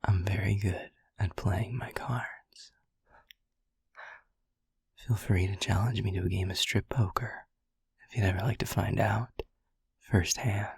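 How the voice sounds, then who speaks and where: A man's voice: lowish voice, steady tone, a little slow, pauses often, no echo, studio quality, exaggerated voice. A man voicing a flirty, protective male incubus in an audio roleplay, with a teasing, cocky manner. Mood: flirty, playful. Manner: teasing, seductive, breathy.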